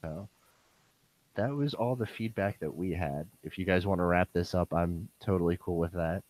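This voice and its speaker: A young man hosting a casual podcast with a hoarse, worn-out voice that sounds nasal and bassy, rambling with lots of fillers. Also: normal speed, darkish tone, rough recording. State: hoarse, voice going. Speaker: podcast host.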